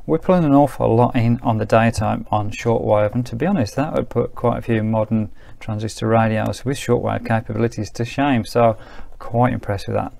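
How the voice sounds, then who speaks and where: A man's voice: mid-range voice, steady tone, moderate pace, few pauses, a little mellow. A British man giving casual hands-on commentary as he reviews a vintage radio. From United Kingdom. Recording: clear background noise, clicks.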